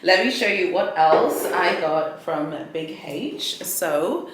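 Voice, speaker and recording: A woman's voice: lowish voice, expressive, slow pace, reverberant, heavy background noise. A woman whose first language is Swahili, chatting casually and rambling through a luxury unboxing vlog, with frequent restarts and fillers. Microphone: ring light setup, camera mic.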